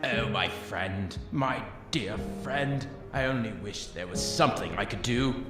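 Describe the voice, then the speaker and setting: An actor playing a gentle, sorrowful middle-aged jailer and father, halting and emotional, in an audio drama. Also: on the low side, natural voice. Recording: echoey room, loud music bed.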